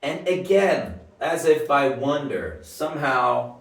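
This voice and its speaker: A man's voice: medium pitch, big pitch swings, slow pace, fairly bright tone, roomy sound, distant mic. A man whose first language is Swedish, from Finland, casually and ramblingly explaining his old tape recordings, swearing and laughing at himself now and then. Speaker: musician and video creator.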